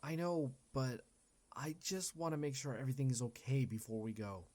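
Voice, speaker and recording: A man's voice: mid-range voice, fairly even intonation, moderate pace, a little mellow, natural voice. A man playing an earnest, loyal and determined young hero in a fantasy visual novel.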